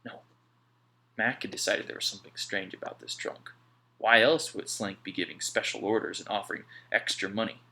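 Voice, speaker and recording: A man reading an audiobook aloud in an expressive storytelling style. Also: on the low side, fairly quick. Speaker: audiobook reader.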